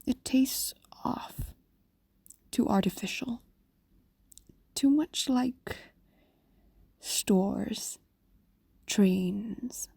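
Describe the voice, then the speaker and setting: A woman voicing an ancient, lovestruck female fox demon in an intimate audio roleplay, whispering softly and coaxingly with lingering pauses. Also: medium pitch, dry recording, full character voice. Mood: possessive, coaxing. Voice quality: soft, breathy whisper. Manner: intimate, slow, pausing, coaxing. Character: possessive, lovestruck female fox demon, centuries old, tender. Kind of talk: ASMR-like fantasy audio roleplay.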